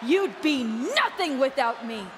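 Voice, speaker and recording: A bitter, taunting wrestling promoter. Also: female voice, high-pitched, sing-song, heavy background noise, heavily acted. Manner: cold, taunting, deliberate. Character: bitter, jealous young woman wrestling promoter. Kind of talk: video game cutscene acting. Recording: roaring arena crowd behind.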